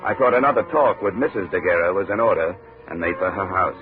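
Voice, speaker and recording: A man's voice: sits low, animated, quick pace, over music. An American man playing a cocky, sardonic insurance investigator in a 1950s radio detective drama, with a clipped, wry delivery. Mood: wry narration. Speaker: lead actor and narrator. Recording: narrow-band old radio recording.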